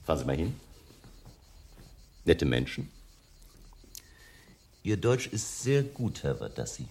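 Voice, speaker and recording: Gravelly, suave, mocking billiard player. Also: male voice, very deep voice, sing-song, darkish tone, heavily acted. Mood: probing, smooth. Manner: ironic, urbane, unhurried. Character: suave, mocking male hotel guest, leisurely billiard player. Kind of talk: German radio drama acting.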